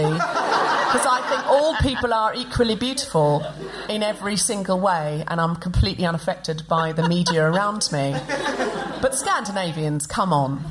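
A woman co-hosting a comedy podcast, bantering with a live audience. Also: medium pitch. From Australia. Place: live show on stage before an audience. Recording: noisy live stage recording.

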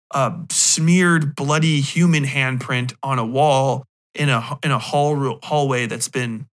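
A man's voice: mid-range voice, a bit bright. A man hosting a podcast, delivering a casual, sweary rant in a sarcastic, exasperated and emphatic manner. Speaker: podcast host. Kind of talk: casual profane movie rant.

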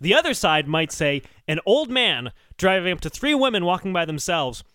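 A man co-hosting a comedic podcast, bantering in an animated, mock-argumentative way. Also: high voice, moderate pace, clear, resonant tone, dry recording, studio quality.

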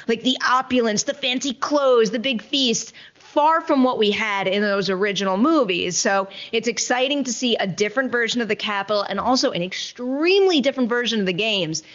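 A woman's voice: a little high, a bit bright. Enthusiastic woman, slightly dull audio. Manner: emphatic, enthusiastic, conversational. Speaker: host and film critic. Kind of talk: casual film review chat.

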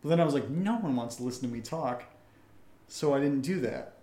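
A man's voice: mid-range voice, expressive, brisk, dark tone. A man hosting a podcast Q&A in a casual, chatty style. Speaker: podcast host and voice actor. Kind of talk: casual chat, Q&A. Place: indoor room, live-streamed session. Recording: echoey room.

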